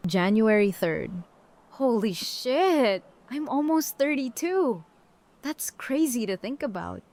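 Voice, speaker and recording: A woman's voice: fairly high pitch, expressive, slow, darkish tone. Woman acting brash, outspoken survivor. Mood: reflective, surprised. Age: 30s. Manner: blunt, casual. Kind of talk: audio drama acting.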